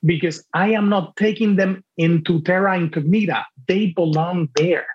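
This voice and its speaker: Man, native Spanish speaker, translator, reflective, deliberate restarts, dull lo-fi audio. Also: high voice. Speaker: translator guest. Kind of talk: reflective podcast conversation.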